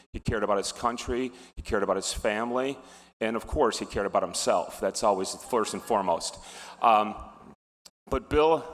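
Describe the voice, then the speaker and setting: A man giving a wry tribute speech at an awards ceremony, with fillers and restarts. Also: on the low side. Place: large ballroom. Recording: reverberant hall.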